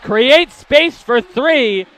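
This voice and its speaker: Bright, energetic basketball play-by-play man. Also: extremely high pitch, poor audio. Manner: rapid calls that rise sharply on big plays. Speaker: play-by-play announcer. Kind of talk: live basketball play-by-play commentary.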